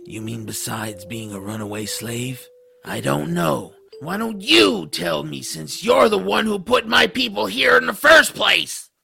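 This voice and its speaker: A man playing a bitter, defiant, sardonic troll with a rough, gravelly voice in an audiodrama. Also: a little high, a little slow, pushed and bright, soft background music, full character voice. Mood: bitter, angry. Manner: sardonic, indignant storytelling. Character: bitter, defiant troll, a disfigured dark-elf runaway slave. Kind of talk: audiodrama character acting.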